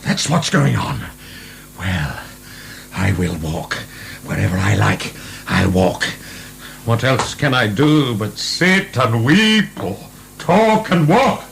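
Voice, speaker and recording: An actor playing a proud, defiant, mocking French marquis in prison, declamatory and sardonic, in a radio drama. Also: male voice, lowish voice, big pitch swings, slow, vocal fry, fairly bright tone, a little hiss or hum, exaggerated voice. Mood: defiant, anguished.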